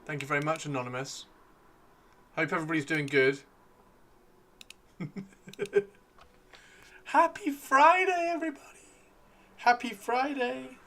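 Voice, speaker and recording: Bright-voiced man hosting, voice wearing thin. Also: sits high, measured pace, long pauses. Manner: excitable, repeats phrases. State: losing his voice. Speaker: livestream host. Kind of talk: casual live stream chat while painting miniatures.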